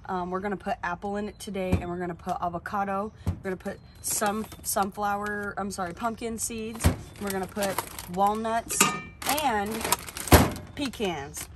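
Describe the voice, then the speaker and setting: A young woman vlogging at a campsite, talking in a chatty, excitable way with plenty of fillers. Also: mid-range voice, noisy background. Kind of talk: casual camping vlog. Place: outdoor campsite beside a camper trailer. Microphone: camera on a tripod a few feet away.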